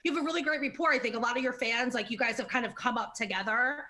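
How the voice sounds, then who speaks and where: A woman hosts a casual interview with a fairly high, very bright voice. She is chatty and informal, readily affirming what her guest says. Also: fast. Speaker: interview show host.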